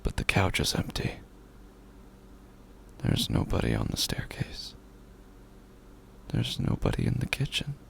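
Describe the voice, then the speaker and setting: Man acting a gravelly, haunted, grieving loner. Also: deep voice, wildly swooping pitch, a bit bright, full character voice. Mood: hushed, numb. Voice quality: breathy, gravelly, often whispering. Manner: halting, repetitive, slow brooding delivery. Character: grieving, isolated, unhinged man alone in his house. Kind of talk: first-person horror audio drama monologue.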